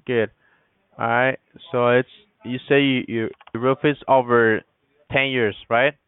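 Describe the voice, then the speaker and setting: A man's voice: medium pitch, fairly even intonation, measured pace, clean tone, no echo. A man calling from a roofing company, asking questions in a hesitant way and often repeating himself. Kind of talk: phone sales call. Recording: tinny phone line.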